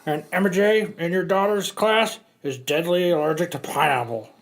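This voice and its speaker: A man's voice: high voice, moderate pace. A man playing a strict, officious school principal in an amateur comic reading. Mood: stern.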